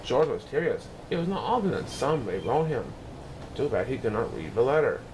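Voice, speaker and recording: A man narrating a children's storybook in an expressive, deliberate storytelling manner with dramatic emphasis. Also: medium pitch. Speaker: storybook reader. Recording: clear background noise.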